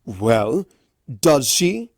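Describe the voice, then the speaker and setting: A narrator voicing a brash, argumentative male pony in a story, loud and confrontational. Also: medium pitch, big pitch swings, drawn-out words, dry recording, clean studio sound, heavily acted. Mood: scornful shouting.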